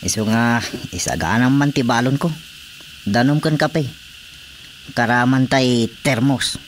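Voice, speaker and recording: A man's voice: mid-range voice, a little slow, slight background noise, natural voice. A man acting an easygoing, stubborn fisherman husband in an Ilocano-accented radio drama comedy, with a teasing, dismissive manner. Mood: matter-of-fact. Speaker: voice actor.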